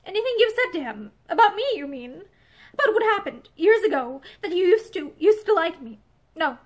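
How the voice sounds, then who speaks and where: A woman reading aloud, voicing a grim, reserved man who speaks tersely and quietly. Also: very high voice, very animated, brisk.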